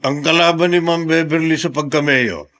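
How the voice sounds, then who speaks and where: Man acting mayor's aide, radio drama, fairly high voice, deferential, cautious, level-headed. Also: fairly even intonation, normal speed, a bit bright, natural voice. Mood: respectful, informing. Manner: respectful, cautious.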